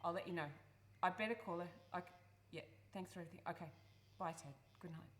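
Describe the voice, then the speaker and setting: A woman playing a worried, guilt-ridden adult daughter in a radio play, protective and earnest, speaking haltingly. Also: fairly low pitch, natural voice. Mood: flustered, apologetic.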